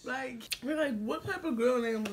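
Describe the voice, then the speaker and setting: A high-pitched young woman, brash and quick with insults, laughing and shouting. Heavy background noise runs under the recording. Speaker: vlogger's friend, co-host. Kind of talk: casual chat.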